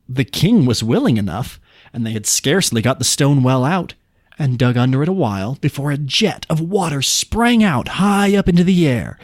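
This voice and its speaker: A man's voice: mid-range voice, darkish tone, dry recording. Man reading a folk tale, dry sound. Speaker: podcast host.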